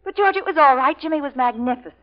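An actress playing a brisk, loyal assistant in 1940s radio drama style, coaxing and chiding with urgency. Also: female voice, sits high, expressive. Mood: gentle, teasing. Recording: muffled old radio recording, crowd behind.

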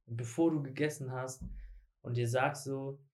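A man's voice: mid-range voice, fairly even intonation, a little slow, darkish tone. A man of thirty talking casually, colloquial, often ending points with a tag question. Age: 30s. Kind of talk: casual chat.

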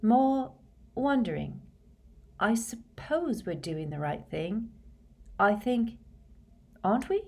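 A woman's voice: medium pitch, lively intonation, measured pace, pitched above the performer's own voice. A woman voicing an anxious, thoughtful teenage schoolgirl who chooses words carefully and hesitantly in a storybook reading. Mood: pensive, unsure.

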